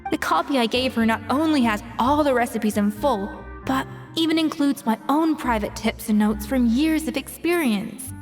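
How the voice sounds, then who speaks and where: A woman's voice: high-pitched, moderate pace, lightly acted. A woman voicing a proud, exacting but caring master chef in a video game, composed and articulate. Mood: troubled, reflective. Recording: reverberant, background music.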